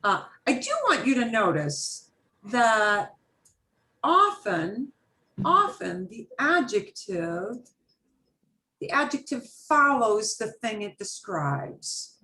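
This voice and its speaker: A woman's voice: fairly high pitch, big pitch swings, takes it slowly, bright, forceful tone. A woman teaching a beginner Spanish class over a video call, chatty and patient, mixing Spanish phrases into her English explanations.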